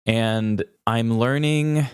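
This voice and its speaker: A man's voice: mid-range voice, extremely slow, clear, resonant tone, dry recording, clean studio sound. A male podcast co-host chatting casually in a candid, self-deprecating way. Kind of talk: casual conversational chat.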